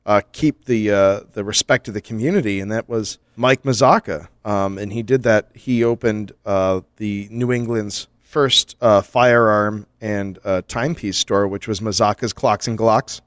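A man's voice: on the low side, unhurried, hardly any pauses, no echo, studio quality. A man co-hosting a comedy podcast, reading listener mail aloud in a casual, joking way and laughing often. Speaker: podcast co-host.

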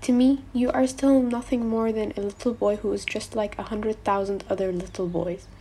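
A woman voicing a gentle, wistful male fox from a storybook, speaking in an earnest, measured way. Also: medium pitch, fairly even intonation, slight background noise, lightly acted. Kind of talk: storybook character voice.

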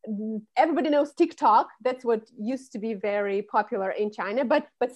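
A woman's voice: a little high, brisk, darkish tone. A woman, a public speaking coach who explains things fluently in an interview. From Russia. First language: Russian. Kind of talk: podcast interview.

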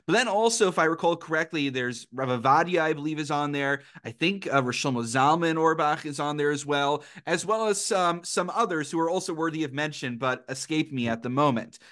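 A man's voice: fairly high pitch, animated, a little fast, few pauses, a bit bright. A man from New York hosting a conversational interview, informal, self-deprecating and digressive, dropping in religious scholarly terms. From New York, United States. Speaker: podcast host.

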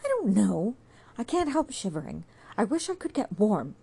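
A woman's voice: medium pitch, big pitch swings, normal speed, creaky, warm tone, slightly rough, pitched above the performer's own voice, exaggerated voice. A woman voicing a young woman who is cold, frail and anxious, speaking in a pleading, shivering way in a storybook reading.

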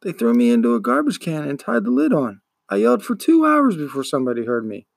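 A downcast, bullied storybook schoolboy, acted by a grown man. He answers meekly and hesitantly in a subdued way, with some creak in the voice. It is recorded dry. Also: a little high, wildly swooping pitch, quick pace, no room sound, voice pushed up, full character voice. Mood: meek, defensive.

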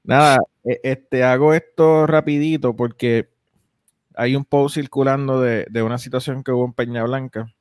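A man's voice: medium pitch, steady tone, normal speed, darkish tone, dry recording, studio quality. A young man hosting a casual podcast, rambling with lots of fillers and restarts. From United States. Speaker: podcast host.

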